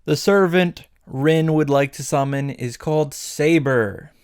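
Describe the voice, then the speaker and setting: A man's voice: on the high side, a little slow, darkish tone, clear, resonant tone, no room sound, clean studio sound. A man narrating a comedic scripted recap as a video host, wry, playful and casually irreverent.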